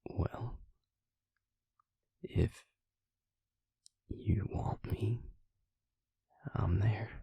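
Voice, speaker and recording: Man playing a low, whispering vampire lover. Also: dark tone, dry recording, lo-fi sound, heavily acted. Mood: touched, devoted. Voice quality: breathy, gravelly near-whisper. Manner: slow, tender, halting delivery. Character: gentle, devoted adult male vampire lover. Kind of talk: intimate scripted roleplay audio, ASMR-like.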